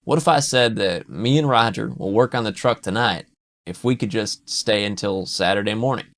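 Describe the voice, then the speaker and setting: A man voicing a rowdy, profane male work buddy who talks loud and pushy. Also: fairly low pitch, normal speed. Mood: coaxing.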